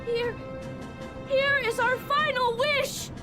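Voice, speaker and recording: A woman's voice: very high voice, steady tone, takes it slowly, over music. A woman voicing a small, earnest, dutiful alien boy in a video game, with a thin childlike voice. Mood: eager, earnest. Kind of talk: video game cutscene acting.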